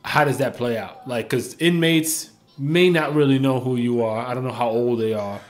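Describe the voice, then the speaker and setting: A man in his thirties hosting a casual interview, asking halting questions and affirming with slang. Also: mid-range voice. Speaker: podcast host interviewing. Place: small home office. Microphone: desk microphone on a stand.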